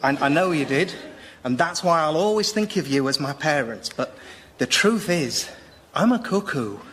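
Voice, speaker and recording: A British man playing an awkward young cuckoo, halting and apologetic, in a radio comedy sketch. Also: a little high, expressive, heavy background noise, close to an everyday voice. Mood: solemn, halting. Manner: halting, sheepish.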